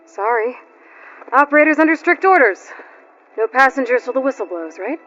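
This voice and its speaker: Tough young game huntress, dry and blunt, no patience, muffled sound with music behind. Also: female voice, high-pitched, expressive, moderate pace, fairly bright tone. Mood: wry. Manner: direct, dry, impatient. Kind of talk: video game cutscene acting.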